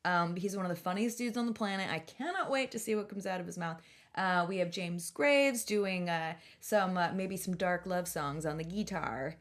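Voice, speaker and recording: A woman's voice: on the low side, normal speed. A woman hosting a podcast, chatting casually in an informal, rambling, enthusiastic way. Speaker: podcast host. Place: podcast recording.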